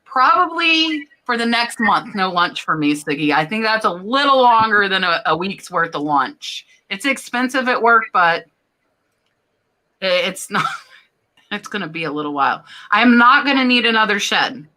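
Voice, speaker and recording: A woman's voice: mid-range voice, big pitch swings, normal speed, bright, forceful tone. A woman from Florida hosting a live stream chat, talking casually, laughing and reading out viewer comments. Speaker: host.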